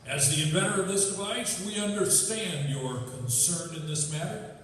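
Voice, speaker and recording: A man's voice: high-pitched, moderate pace, a bit bright. A man playing a firm, formal presiding officer at a court martial in a radio drama. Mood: firm, commanding. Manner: formal, commanding. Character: firm, authoritative presiding military judge. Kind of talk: stage radio drama acting. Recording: echoey hall from far off, faint noise.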